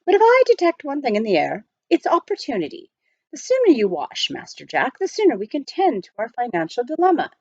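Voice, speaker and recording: A woman's voice: on the high side, wildly swooping pitch, brisk, dry recording, muffled recording, full character voice. A woman voicing a proper, unflappable male English butler with formal, precise, reassuring speech in a storybook. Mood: composed, optimistic.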